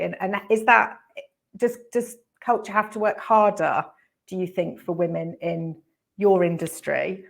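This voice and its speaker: A woman moderates a webinar panel in a somewhat low voice. She asks long questions in a tentative way, hedging as she goes. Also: gravelly. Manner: tentative questioning, with hedges like "I guess".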